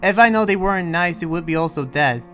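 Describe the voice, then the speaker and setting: A man acts out a good-natured townsman in an improvised anime game roleplay. His voice sits a little high and he explains things earnestly, trailing into hesitations. Faint music plays behind him. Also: animated, bright, forceful tone. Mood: patient, defensive. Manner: hesitant, rambling explanations full of pauses. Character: friendly, earnest demon-sheltering townsman who senses lies.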